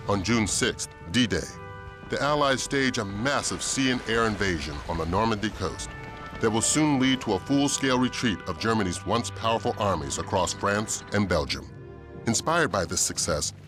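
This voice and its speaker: A man delivering solemn, measured war history narration in the manner of a documentary, for a video game. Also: fairly low pitch, lightly acted. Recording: music under narration.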